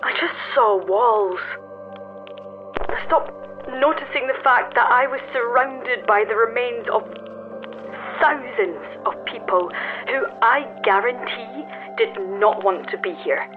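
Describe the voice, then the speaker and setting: A shaken female explorer in a naturalistic audio drama. Her highish voice is halting and catches on repeated words. It comes thin through a phone line, with faint music behind. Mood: sickened, distressed. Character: shaken young woman climber exploring a tower tomb. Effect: telephone voice.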